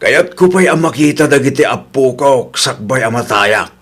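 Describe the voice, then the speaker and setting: An actor playing a caring elderly father in a radio drama, gently admonishing his grown son. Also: mid-range voice, expressive, normal speed, breathy edge. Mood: concerned.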